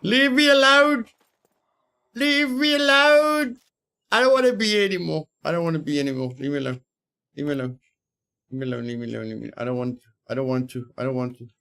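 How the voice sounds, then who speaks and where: A man's voice: a little high, a little fast, vocal fry, sharp tone, clean tone. A man streaming a survival game, giving live commentary, repeating his phrases and yelping with mild exclamations when startled.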